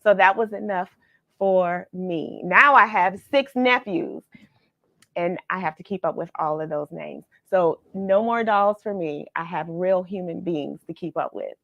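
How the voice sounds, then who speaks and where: Slow-paced woman hosting a read-along. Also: lowish voice, creaky, no echo, lo-fi sound. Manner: measured, expressive storyteller delivery. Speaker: host of a read-along show. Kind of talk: expressive storybook reading and friendly live chat with viewers.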